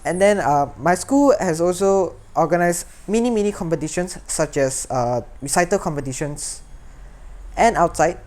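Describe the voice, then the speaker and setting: A man's voice: sits high, expressive, moderate pace, a little mellow. A teenage boy with a Singaporean accent, earnestly sharing his own story and often restarting or repeating words. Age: teens. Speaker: secondary school student. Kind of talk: personal talk to camera.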